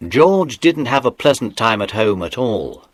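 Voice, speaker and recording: A man narrating a dramatised children's audiobook in an expressive, animated storytelling manner. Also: medium pitch, unhurried. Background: music.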